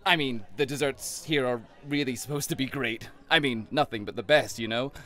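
A man's voice: medium pitch, normal speed, a bit bright, natural voice. An actor playing an awkward, earnest man on a first date in an audio drama, speaking hesitantly. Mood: nervous, earnest. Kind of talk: naturalistic audio drama acting.

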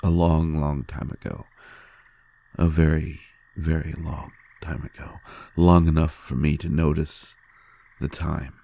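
An ancient male vampire, acted by a man, speaks tenderly to a lover in an intimate audio drama. The voice is extremely low, with gravelly fry and a dark, mellow tone. He is soft, halting and sincere, with a melodramatic streak. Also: level tone, heavily acted. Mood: wistful, hesitant. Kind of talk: intimate roleplay audio acting.

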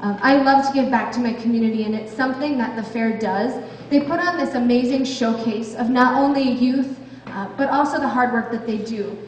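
A woman's voice: a little high, a little mellow, a little hiss or hum. A woman in her twenties from Wisconsin giving an upbeat, sincere speech as a fair ambassador, with some ums and uhs, on a handheld mic. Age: 20s. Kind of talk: public speech at a pageant. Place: large wood-paneled hall with stage. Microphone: handheld mic, far camera. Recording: echoey hall from far off.

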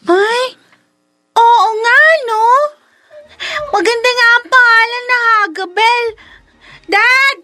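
Excited, very high-voiced little girl. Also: takes it slowly, long pauses, heavily acted. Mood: eager, friendly. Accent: Filipino. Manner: polite, gushing, childlike. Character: sweet, excited ten-year-old girl, grateful daughter. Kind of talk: Filipino radio drama acting.

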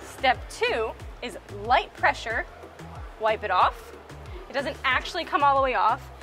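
A woman's voice: sits high, expressive, unhurried, bright, forceful tone, soft background music. A young woman co-hosting a boating vlog, explaining each step of a job in an upbeat, step-by-step way inside a big boat storage warehouse. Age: young adult. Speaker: co-host of a boating channel. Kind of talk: casual how-to vlog narration.

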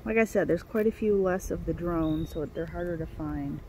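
A woman's voice: on the low side, moderate pace, a little mellow, poor audio. A woman beekeeper chatting and explaining as she inspects a hive outdoors, recorded on a handheld camera. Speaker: beekeeper, co-host. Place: outdoors at a backyard beehive. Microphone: handheld camera mic, crinkling gloves. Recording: outdoor hum of buzzing bees.

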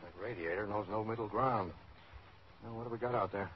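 A male actor plays a no-nonsense detective lieutenant, dry and commanding, in a radio crime play. The voice is very low and quick. The old recording is dull and faintly noisy. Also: natural voice. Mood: brisk, dry. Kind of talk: old-time radio police drama acting.

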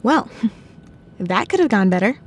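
A woman's voice: medium pitch, sing-song, measured pace, recorded from a distance, a little hiss or hum. A woman playing a petulant, emotional teenage superhero girl with a sulky, informal manner. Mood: casual.

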